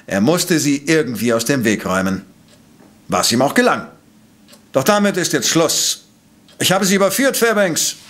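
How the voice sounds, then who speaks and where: A man's voice: on the high side, a bit bright. A man playing a brilliant, conceited professor-detective in an audio drama, with a pompous, precise, lecturing manner. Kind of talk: German audio drama acting.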